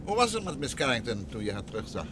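An actor playing a composed, courteous husband in a vintage radio play, with a measured, understated way of questioning. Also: male voice, mid-range voice, sing-song, noisy background, close to an everyday voice. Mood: composed, inquiring.

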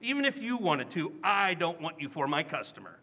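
A man's voice: fairly high pitch, very animated, brisk, sharp tone, dull audio, exaggerated voice. An actor playing a boastful, blustering middle-aged father in a 1940s-style radio comedy, brash and full of chuckles. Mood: pleading.

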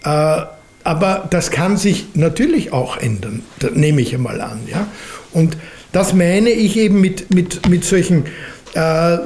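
A man in his seventies with an Austrian accent, answering questions as a lecturer in a deliberate way, often adding questioning tags. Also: a little high, a little mellow. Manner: deliberate, hedging, often adds a questioning "nicht?". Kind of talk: unscripted answer after a lecture. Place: library lecture room, at a lectern. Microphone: lectern microphone.

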